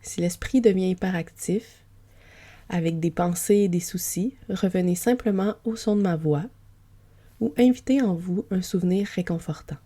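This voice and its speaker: Soft, breathy woman guiding sleep relaxation. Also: lowish voice. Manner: gentle, soothing, unhurried. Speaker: yoga nidra guide. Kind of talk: guided sleep relaxation, meditation narration.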